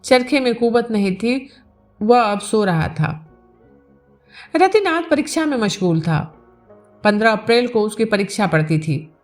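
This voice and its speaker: A woman's voice: fairly high pitch, very animated, measured pace, pauses often. A woman reading a literary novel aloud as a scripted story narration. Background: background music.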